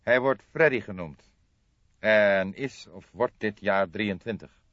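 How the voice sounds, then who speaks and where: A stern, gloomy gentleman of middle age, as a man reads him in an audiobook. His pitch is raised and his delivery is solemn, formal and emphatic. The recording is dull and roomy. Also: slow. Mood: indignant, emphatic. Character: stern, gloomy, formal middle-aged male friend of the deceased.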